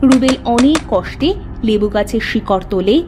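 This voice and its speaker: High-voiced woman narrating a fairy tale. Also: lively intonation, normal speed, over music.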